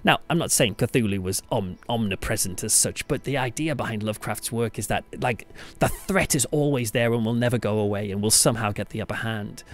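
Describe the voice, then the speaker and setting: A man's voice: fairly low pitch, fairly quick. A man hosting a solo podcast, talking conversationally in an emphatic, reflective storytelling manner. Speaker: podcast host and church pastor.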